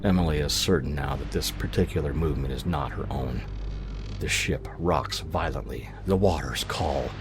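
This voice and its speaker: Low male narrator for a dark audio drama, grave measured storytelling, soft music behind. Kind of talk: dramatic scripted narration for a fiction podcast.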